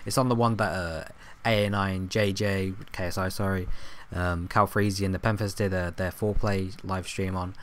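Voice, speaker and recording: A young man with a hoarse, fading voice, chatting casually over his football game footage, rambling with lots of ums and restarts. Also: low voice, steady tone, normal speed, hardly any pauses. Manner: rambling, filler-heavy, self-correcting. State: hoarse, coughing, voice going. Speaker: gaming YouTuber. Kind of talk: casual gameplay commentary.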